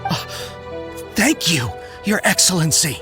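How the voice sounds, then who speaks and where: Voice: medium pitch, big pitch swings, measured pace. An actor voicing a dutiful, contrite soldier who speaks formally and deferentially to a commander in a video game cutscene. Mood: bewildered. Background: music.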